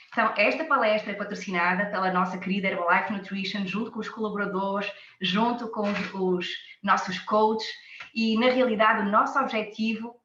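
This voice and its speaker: A woman's voice: medium pitch, sharp tone, echoey room, highs cut off. A woman from France with a French-tinged Portuguese accent, shaped by years in Portugal, hosting a live health webinar with enthusiastic, repetitive emphasis. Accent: French-influenced Portuguese, shaped by years in Portugal. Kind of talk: live online presentation.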